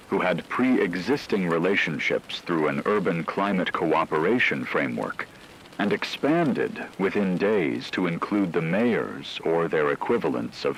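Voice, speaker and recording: A man playing a grave radio announcer, reading scripted news in a measured, formal way. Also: sits low, fairly bright tone, slight background noise, exaggerated voice, low-quality recording. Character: grave male radio announcer reporting on global collapse.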